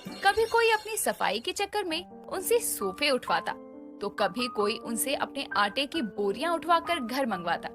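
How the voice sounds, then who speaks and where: High-pitched, animated woman storyteller. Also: a little slow, music in the background. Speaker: narrator. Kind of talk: scripted moral-story narration.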